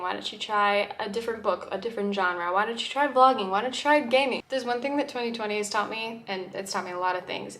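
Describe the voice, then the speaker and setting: A woman's voice: medium pitch, a bit bright, a little hiss or hum. A woman in her twenties with a mixed American accent, chatting upbeat to camera in a casual vlog intro at home. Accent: mixed American with shifting traces. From grew up in the UK and the Middle East. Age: 20s. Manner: chatty and upbeat, strings of rhetorical questions. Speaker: vlogger. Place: home room with plants. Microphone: camera in front of her.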